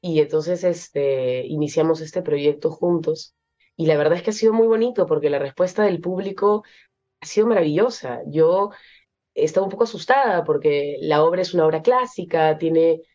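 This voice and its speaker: A young Peruvian woman with a Peruvian Spanish accent, an actress being interviewed, speaking thoughtfully with many pauses and fillers. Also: low-pitched, mellow, muffled recording. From Peru. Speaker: actress guest. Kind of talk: interview conversation.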